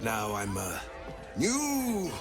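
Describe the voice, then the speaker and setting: A man's voice: fairly low pitch, huge pitch swings, very slow, exaggerated voice. An actor playing a whimsical former playwright, a carefree man with a theatrical delivery in a video game. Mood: dreamy, carefree. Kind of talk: video game character acting. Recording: background music.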